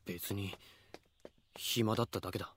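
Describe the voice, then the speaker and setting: An actor playing a proud, curt, jealous husband of rank in a Japanese audio drama. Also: male voice, fairly low pitch, steady tone, normal speed, fairly bright tone, slight background noise, close to an everyday voice. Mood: sulky, guarded. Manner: curt, brusque, sulky.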